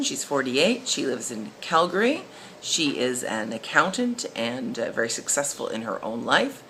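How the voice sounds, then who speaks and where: Female instructor, very low register, animated intonation; informal explainer narration. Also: a little hiss or hum. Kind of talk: recorded lesson, case study narration.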